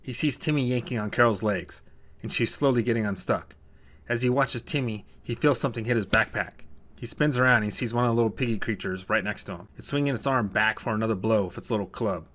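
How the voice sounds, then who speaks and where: A man's voice: fairly low pitch, fast, fairly bright tone, slight background noise. A man narrating a scripted short story as a storyteller.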